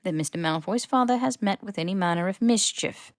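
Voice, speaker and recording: A woman voicing a stern, shrewd older school headmistress in an audiobook, with crisp, formal phrasing and dry exasperation. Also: mid-range voice, fairly quick, no room sound, close to an everyday voice. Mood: firm, softening. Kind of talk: audiobook character voice.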